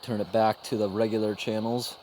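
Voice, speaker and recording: A man's voice: fairly low pitch, little pitch movement, brisk, darkish tone. Man casually demonstrating, noisy recording. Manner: plain, informal explaining. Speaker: gadget reviewer. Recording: noisy handheld amateur recording.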